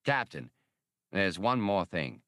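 A man's voice: low voice, big pitch swings, slow pace, a bit bright, no room sound, clean studio sound, heavily acted. A man voicing a thin, solemn alien scholar in an audiobook, speaking formally and earnestly. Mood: courteous. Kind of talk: audiobook character reading.

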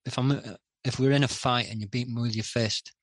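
A middle-aged man speaking candidly as a podcast guest, hesitant with many pauses and restarts. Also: mid-range voice, fairly even intonation, dry recording. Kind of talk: candid interview talk.

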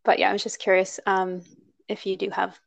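A woman's voice: mid-range voice, monotone, fairly quick, vocal fry, no echo. A woman hosting a podcast, upbeat and chatty, with easy laughter in a casual conversation. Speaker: podcast host.

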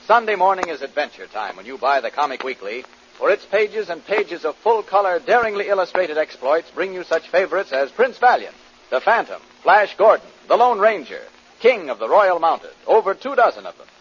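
A man's voice: high-pitched, sing-song, normal speed, breathy edge. A man announcing and narrating in an emphatic, declamatory 1940s radio style. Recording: muffled, noisy vintage radio broadcast.

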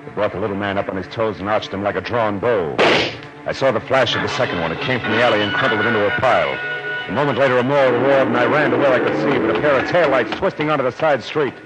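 An actor playing a wry, pushy hard-boiled private detective in 1940s radio noir style, with an American accent and clipped, tough slang. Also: male voice, mid-range voice, quick pace, over loud music, lightly acted. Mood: grim, narrating. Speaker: lead detective and narrator. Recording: muffled, noisy old radio recording.

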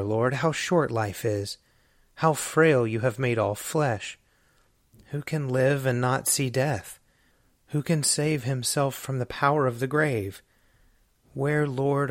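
A man reading a psalm and doxology aloud in a measured, solemn way. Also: medium pitch, no echo, clean studio sound. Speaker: scripture reader.

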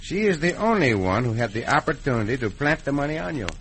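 An actor playing a confident, gallant Mexican cowboy hero with formal phrasing and a Mexican accent, in old radio western style. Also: male voice, mid-range voice, big pitch swings, a bit bright, poor audio. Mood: calm, reasoning. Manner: formal, deliberate phrasing, few contractions. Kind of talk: 1950s radio western acting. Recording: old radio recording, faint noise.

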